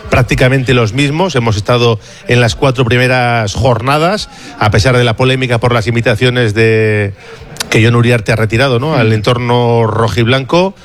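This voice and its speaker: A man's voice: mid-range voice, moderate pace. A man hosting a sports radio show, talking steadily with a few fillers. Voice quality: conversational, steady delivery with fillers.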